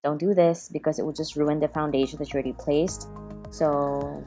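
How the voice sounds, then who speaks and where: Female beauty vlogger, slightly low voice, casual and self-deprecating tutorial narration. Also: steady tone, moderate pace, dark tone. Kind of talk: casual makeup tutorial voice-over. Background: background music.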